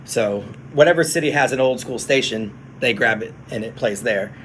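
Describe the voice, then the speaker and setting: A man who works as a radio DJ, chatting casually on a phone livestream from his studio, relaxed and explaining things to viewers. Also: mid-range voice, normal speed, a little hiss or hum.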